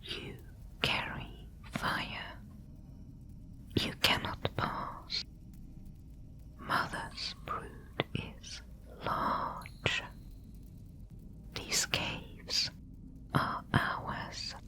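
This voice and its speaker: A woman's voice: very slow, pauses often, pushed and bright, poor audio. A woman voicing a wary, territorial giant spider guard in an ASMR roleplay, speaking in a stern, clipped whisper.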